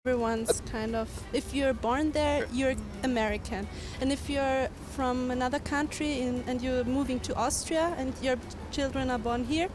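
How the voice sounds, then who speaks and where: A woman's voice: sits high, moderate pace, over music. A woman answering questions in a street interview, speaking thoughtfully.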